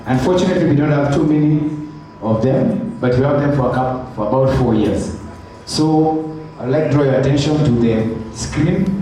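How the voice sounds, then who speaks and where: A man's voice: medium pitch, mellow, a little hiss or hum. A man as master of ceremonies at a club ball, introducing guests with teasing jokes. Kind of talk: event emcee speech. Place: large hall at an evening party. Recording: echoey hall from far off, crowd behind. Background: crowd chatter.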